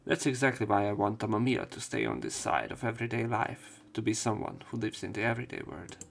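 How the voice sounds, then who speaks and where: A man narrating a game let's play, reading dialogue aloud with casual, halting commentary. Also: fairly low pitch, lively intonation, gravelly, a bit bright.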